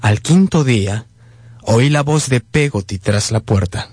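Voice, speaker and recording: A man's voice: mid-range voice, expressive, measured pace, darkish tone, breathy edge, no room sound, studio quality. An actor playing a sensitive young man narrating his unhappy boyhood in a radio drama. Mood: pained. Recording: music bed behind the voice.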